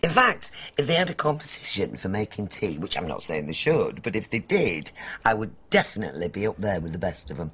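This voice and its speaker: A man's voice: sits low, big pitch swings, brisk, hardly any pauses, fairly bright tone, slightly rough, heavily acted. A man playing a chatty, fussy, self-satisfied married woman in a comedy bedtime sketch, with coy, needling prattle. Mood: smug, fussy. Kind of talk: domestic comedy sketch dialogue.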